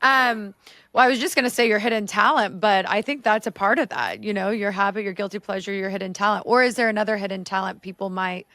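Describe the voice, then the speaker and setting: A woman's voice: medium pitch, quick pace, fairly bright tone, dry recording. A woman hosting a podcast interview, affirming and asking questions casually. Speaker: podcast host.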